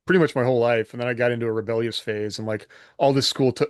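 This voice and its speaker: A man's voice: medium pitch, fairly even intonation, very fast, no room sound, studio quality. A middle-aged American man chatting casually as a podcast co-host, candid and self-deprecating. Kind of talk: casual chat.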